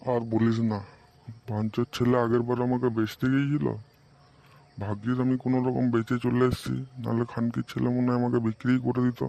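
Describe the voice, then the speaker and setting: A man voicing a grumpy, stingy, middle-aged cartoon father who gruffly scolds and curses. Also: mid-range voice, level tone, a little fast, mellow, lightly acted. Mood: grumbling. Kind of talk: amateur comic cartoon dubbing. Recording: muffled, faint background noise.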